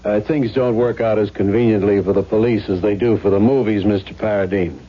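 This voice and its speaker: A man's voice: on the low side, steady tone, a little fast, lightly acted. A man playing a weary, impatient, skeptical police lieutenant in a 1970s radio drama, blunt, clipped and dryly sarcastic. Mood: probing. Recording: muffled vintage radio recording.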